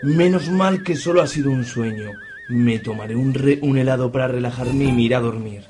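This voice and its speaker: A man playing a domineering, melodramatic grandfather in a comic radio soap, with a husky, pompous delivery. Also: mid-range voice, brisk, a little mellow, faint music behind, natural voice. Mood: shaken, relieved. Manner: exaggerated, pompous. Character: domineering, sexist middle-aged grandfather, melodramatic.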